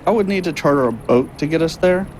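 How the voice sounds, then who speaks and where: A man's voice: a little high, lively intonation, quick pace, noisy background, lightly acted. An actor playing an earnest, flustered young man in an audio drama, speaking politely and hesitantly. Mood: nervous, deferential.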